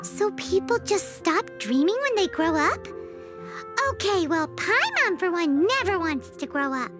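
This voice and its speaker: A woman voicing a small, childlike, chirpy girl companion in a video game, naive and excitable, speaking with a squeaky voice. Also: a little slow, full character voice. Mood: curious. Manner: refers to self in third person. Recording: background music under voice.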